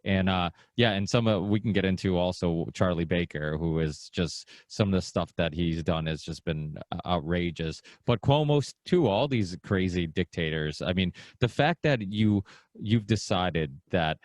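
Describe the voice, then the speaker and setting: A man from Portugal with a very low voice hosts a podcast in a loose, rambling way, leaning on fillers. The sound is dry and close, with no room to it. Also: moderate pace, no echo. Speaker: podcast host. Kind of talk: casual interview talk.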